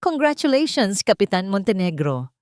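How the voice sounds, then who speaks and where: A woman's voice: medium pitch, no echo, clean studio sound, lightly acted. A woman narrator as cold, commanding woman colonel. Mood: icy politeness. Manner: calm, cutting, slow and clear.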